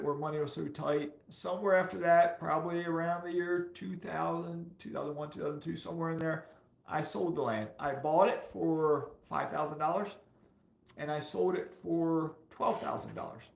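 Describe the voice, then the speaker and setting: A man in his fifties speaks in a fairly high-set voice with a creaky, slightly hoarse edge. He chats casually as a livestream host, repeating key points for emphasis. The room adds a noticeable echo around him. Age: 50s. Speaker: personal finance livestream host. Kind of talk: casual livestream chat answering viewers. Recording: roomy, echoey sound.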